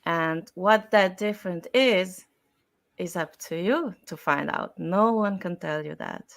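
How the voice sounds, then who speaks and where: A woman speaking as an expert guest in an interview, explaining her points deliberately in short, emphatic phrases. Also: on the low side, vocal fry. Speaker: style and communication expert guest.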